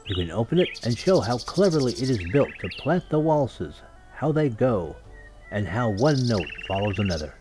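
A man's voice: medium pitch, big pitch swings, normal speed, lightly acted. A man voicing a fussy, self-important music master in a children's storybook, lecturing pompously. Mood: smug, insistent.

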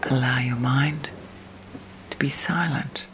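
A woman leads a guided sleep meditation in a soft, breathy, near-whispered voice, soothing, with long pauses between phrases. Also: very deep voice, rough recording. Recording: steady storm and rain noise. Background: rain and storm.

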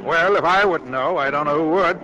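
Small-town police chief, deadpan, folksy, bright forceful voice, old radio drama. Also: male voice, fairly high pitch, a little fast, muffled recording, slight background noise. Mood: wry, needling. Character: gruff, wry small-town police chief, grown man. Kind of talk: 1940s radio acting. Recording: 1940s radio broadcast recording.

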